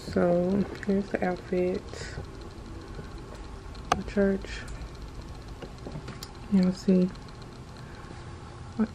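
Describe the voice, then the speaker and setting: Woman in her 30s, home vlogger, slow and flat, gravelly, talking into a handheld camera over noisy audio. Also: fairly low pitch. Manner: relaxed, offhand talk to camera. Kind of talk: casual vlog chat. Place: bedroom at home, indoors. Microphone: handheld compact camera.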